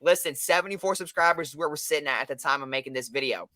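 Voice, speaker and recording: A young man co-hosting a casual sports podcast, hoarse from losing his voice, talking in a hyped, energetic way. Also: on the high side, racing, no room sound, clean studio sound. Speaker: podcast co-host.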